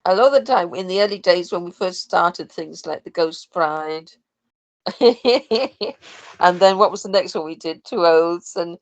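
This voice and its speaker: A woman's voice: on the low side, unhurried, no room sound. A woman chatting casually and thoughtfully about her work on a podcast. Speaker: podcast guest, sound and music designer for audio dramas. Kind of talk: casual chat.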